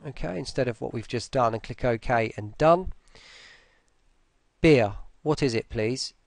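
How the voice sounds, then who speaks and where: A man walks through software in an informal, think-aloud style. The voice has a gravelly fry at an average pitch, and the recording is dry, with very little room sound. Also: a little slow, dry recording. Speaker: software tutorial presenter. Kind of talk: casual screen-recorded walkthrough.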